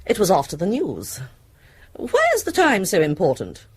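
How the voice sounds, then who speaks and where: A prim middle-aged housewife in a radio drama. Her husky voice swings widely in pitch. She is formal, fastidious and opinionated, placing her words with care. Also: huge pitch swings, full character voice. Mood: recollecting.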